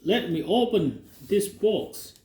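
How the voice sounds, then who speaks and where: A man with a Russian accent talks through an unboxing in a high, animated voice. He speaks very slowly in halting English, with long pauses between phrases. The room around him is echoey. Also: slight background noise. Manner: slow, halting non-native English with pauses. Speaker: unboxing vlogger. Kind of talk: casual unboxing commentary.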